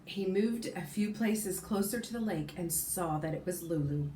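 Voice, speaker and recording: Low-voiced woman teacher reading aloud. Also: normal speed, reverberant, slight background noise. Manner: expressive, stops to comment and correct herself. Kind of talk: reading a novel aloud to a class.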